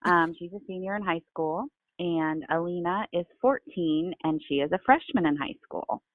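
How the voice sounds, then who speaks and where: A woman in her forties joins a casual podcast as a guest, animated and quick to laugh despite a cold. Her lowish voice comes through thin and phone-like, with a dry sound. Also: no echo. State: has a cold. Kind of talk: casual chat.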